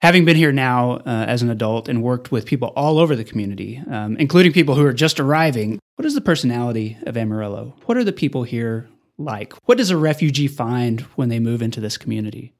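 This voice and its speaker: Male radio host asking measured questions. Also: medium pitch, lively intonation. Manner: measured, prompts with short acknowledgments. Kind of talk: interview questions.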